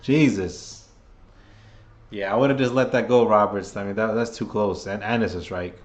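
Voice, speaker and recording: A man giving casual, chatty play-by-play for a live chat, seated at a table at home, sounding under the weather with a voice getting hoarse. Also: on the low side, steady tone, normal speed. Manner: chatty, hyped, keeps rallying the chat. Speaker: fan livestream commentator.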